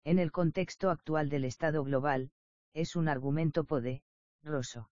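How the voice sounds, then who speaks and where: A man reading an academic nonfiction text aloud in an even, steady manner, even voicing page numbers and footnote marks. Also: fairly high pitch, no echo. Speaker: reader of an academic book. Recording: dull, lo-fi dry recording.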